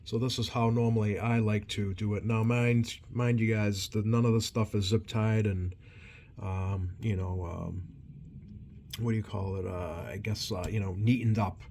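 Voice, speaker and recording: Low-voiced man, hesitant and rambling. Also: level tone, darkish tone. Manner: hesitant, rambling, many ums and pauses. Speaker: CB radio repair technician hosting a video. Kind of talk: casual hands-on demonstration. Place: repair workbench indoors. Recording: noisy room tone behind voice.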